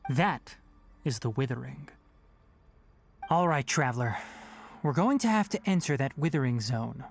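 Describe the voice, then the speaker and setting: An actor playing a calm, knowledgeable young forest ranger in a video game, speaking in a measured, instructive way with authority. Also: male voice, medium pitch, vocal fry. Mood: grave, cautioning. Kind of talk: English video game voice acting.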